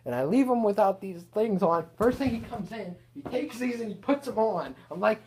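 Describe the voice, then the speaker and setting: A man reading his own written story aloud in a casual way, halting and correcting himself as he goes. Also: high voice, animated, darkish tone, slight background noise.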